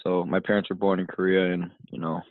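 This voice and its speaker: A man in his twenties from the United States rambles casually as a podcast guest, leaning on "you know" between thoughts. His voice sits very low and barely rises or falls. It comes through a thin, phone-like line with a dry sound. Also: level tone, a little fast, dry recording. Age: 20s. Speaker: guest, fighter.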